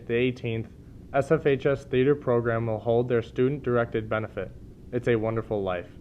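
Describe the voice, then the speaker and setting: A man's voice: medium pitch, a little mellow. A young man reading scripted school news as an anchor. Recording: dull sound with clear background noise.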